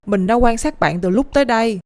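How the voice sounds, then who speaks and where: A woman's voice: on the high side, a little fast, a little mellow, no echo, natural voice. A woman voicing a talking rooster scientist in a cartoon, secretive and earnest, with a southern Vietnamese accent and an explanatory, dramatic delivery. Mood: solemn, persuasive. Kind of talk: animated cartoon dialogue. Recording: music behind the voice.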